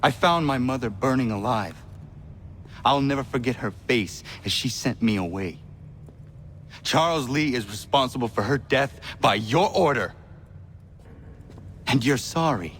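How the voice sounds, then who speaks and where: An actor playing a stern young assassin who speaks bluntly and bitterly in a video game. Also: male voice, mid-range voice, animated, a little slow, pauses often, a bit bright, slight background noise. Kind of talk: video game cutscene acting.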